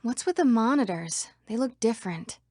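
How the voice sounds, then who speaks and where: Female computer program, young, high voice, dry studio sound, earnest, video game dialogue. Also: expressive, normal speed, mellow, no echo. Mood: wary. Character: female computer program citizen of a digital world, earnest, uneasy.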